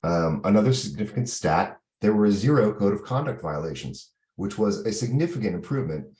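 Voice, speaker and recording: A man giving an informal update in a work meeting, speaking in a halting, pausing way. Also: on the low side, animated, darkish tone. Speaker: meeting participant reporting on an event. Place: online video call. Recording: roomy, echoey meeting audio.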